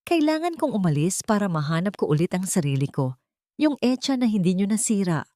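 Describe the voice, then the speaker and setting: A woman's voice: medium pitch, big pitch swings, brisk, warm tone, no room sound, lightly acted. A woman with a Filipino accent voicing a wronged young wife in an audio story, composed, respectful and firm. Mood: calm, resolute.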